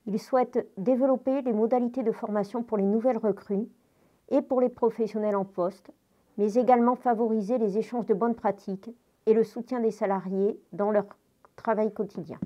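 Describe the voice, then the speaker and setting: A woman's voice: a little high, highs cut off. A woman reading a scripted institutional address as a local social service official, composed and formal. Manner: composed, formal read-out delivery. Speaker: local social service official giving New Year's wishes.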